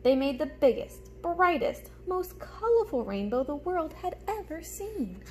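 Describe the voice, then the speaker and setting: A young woman with a slightly high voice reads a picture book aloud for children. She is animated and explains things like a teacher. She is recorded across a table in a small room, with noticeable background noise. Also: a little slow. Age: young adult. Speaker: teacher reading aloud to pupils. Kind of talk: storybook read-aloud with teacherly asides. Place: small room at home, indoors.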